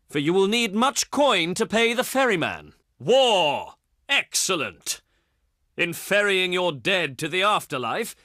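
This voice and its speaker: Pompous, theatrical ancient Greek envoy. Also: male voice, a little high, bright, forceful tone, dry recording, studio quality, heavily acted. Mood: gleeful menace. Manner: declamatory, grandiloquent, pompous. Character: haughty, eloquent ancient Greek male diplomat, sardonic and menacing. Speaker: video game diplomacy voice actor. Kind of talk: theatrical game character acting, formal archaic speech.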